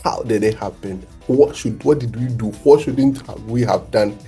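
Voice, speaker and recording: A mellow, husky-voiced man hosting a casual football talk show. He speaks in an excitable, animated way and is quick to laugh. Faint music plays behind him. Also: fairly low pitch. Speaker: podcast host.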